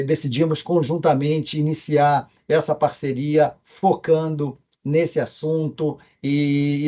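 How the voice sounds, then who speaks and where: A man's voice: on the high side, dark tone. Carioca-accented man on a dull remote call. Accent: Carioca Portuguese. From Brazil. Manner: hesitant, with frequent fillers. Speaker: company co-founder speaking remotely. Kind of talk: presentation remarks. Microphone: video call.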